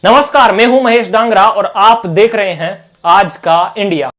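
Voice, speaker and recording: A man's voice: high-pitched, normal speed, fairly bright tone. A man presenting opinionated news commentary in an emphatic, rhetorical manner.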